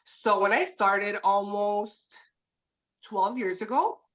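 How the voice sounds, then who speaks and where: A French Canadian woman, a native French speaker, chatting in a lively way as a podcast guest. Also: medium pitch, slow pace. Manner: lively, chatty, laughs easily. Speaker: podcast guest, permanent makeup educator. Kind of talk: podcast interview.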